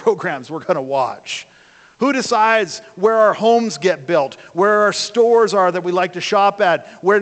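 A man's voice: high voice, fairly bright tone. An older man preaching a sermon from the pulpit, conversational and full of rhetorical questions, picked up by a camera at the back of the church. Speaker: pastor. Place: church sanctuary, speaking from a pulpit. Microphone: far camera at the back of the church. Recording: long church hall reverb.

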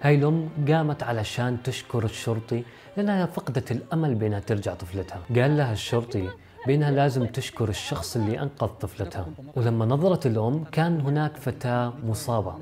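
Male storyteller, 20s. Rapid delivery, mellow tone, dramatic host style over soft music. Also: medium pitch, lively intonation. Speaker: video host. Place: furnished indoor room. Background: faint background music.

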